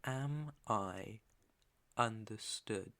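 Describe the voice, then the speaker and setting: A British man playing a controlling, possessive young mafia heir in an ASMR roleplay, deliberate and commanding, with menace under the coaxing. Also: on the low side, gravelly, fairly bright tone, heavily acted. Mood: quietly threatening. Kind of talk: ASMR roleplay, second-person dramatic acting.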